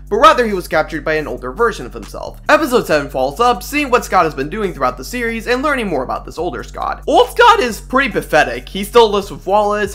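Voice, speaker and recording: A young man delivers scripted video essay commentary at a quick clip. His voice sits a little high and swings freely in pitch, with informal, slangy, opinionated phrasing. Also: fairly bright tone.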